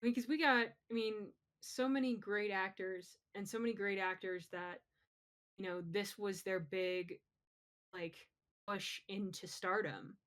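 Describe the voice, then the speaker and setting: Conversational woman with a mid-pitched voice. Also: fairly even intonation, unhurried, a bit bright. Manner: conversational, opinionated. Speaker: podcast co-host. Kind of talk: casual pop-culture chat.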